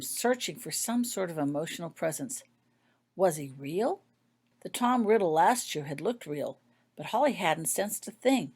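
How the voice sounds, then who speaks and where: Female author-narrator for scripted fiction, pitched a little low. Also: darkish tone. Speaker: author reading own fan fiction.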